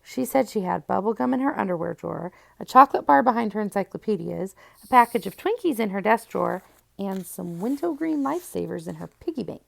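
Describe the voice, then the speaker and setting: A woman reading a children's storybook aloud in an expressive first-person narration. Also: medium pitch, a little fast, gravelly. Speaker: audiobook reader.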